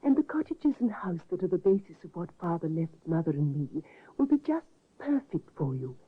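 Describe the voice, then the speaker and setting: An actress in a 1950s radio drama playing an earnest, gentle grown daughter, heard through a tinny old broadcast. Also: female voice, on the low side, sing-song, normal speed, husky.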